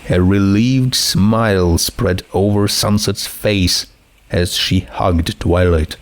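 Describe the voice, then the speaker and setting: A man's voice: low-pitched, fairly even intonation, slow. A man narrating a scripted fiction story as its reader.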